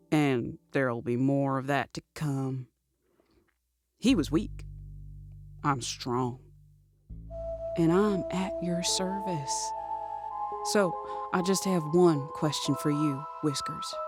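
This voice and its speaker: A woman playing a steely widowed outlaw fresh from prison in a scripted audio drama, deliberate and probing. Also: low-pitched. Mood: cold, menacing. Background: music.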